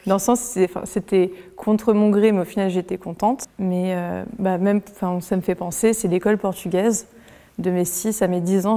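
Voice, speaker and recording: A woman in her twenties talking casually in an interview, hesitant, with many pauses and fillers. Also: medium pitch, warm tone, big-room sound. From France. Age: 20s. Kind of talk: casual conversation.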